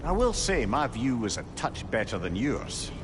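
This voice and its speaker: Casting: male actor as witty old sage, a talking head, lively inflection, wry and wordy, game noise behind. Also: medium pitch, normal speed, lightly acted. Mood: sardonic. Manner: wry, florid wording. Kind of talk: video game dialogue acting.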